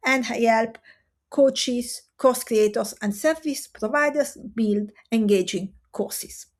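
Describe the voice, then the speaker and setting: Woman, fairly high voice, earnest, emphatic, direct-to-camera coaching talk. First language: Italian and French. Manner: earnest, emphatic, with rhetorical pauses.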